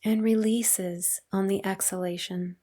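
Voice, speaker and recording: A woman leading a guided meditation as a teacher, speaking in a calm, unhurried, soothing way with long pauses. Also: medium pitch.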